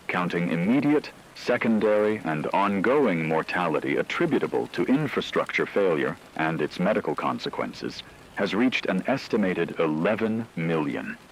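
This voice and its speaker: A man playing a grave radio announcer, reading a formal scripted disaster briefing in a measured, solemn, technical manner. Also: low voice, very animated, slight background noise, poor audio. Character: grave male radio announcer delivering disaster status reports. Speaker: broadcast announcer.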